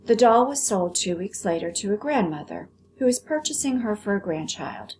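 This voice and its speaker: An American woman teaches and reads aloud to children in an explanatory way. Her mid-pitched voice moves up and down a lot, with faint noise behind it. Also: animated, moderate pace, darkish tone. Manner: explanatory, clearly phrased for children. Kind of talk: vocabulary lesson and expressive story read-aloud.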